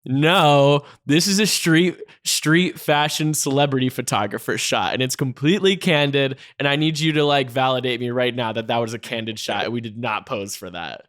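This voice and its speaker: A man's voice: medium pitch, normal speed, few pauses, fairly bright tone, no echo, studio quality. A man co-hosting a podcast in casual chat, playful and teasing, swearing casually. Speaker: podcast co-host.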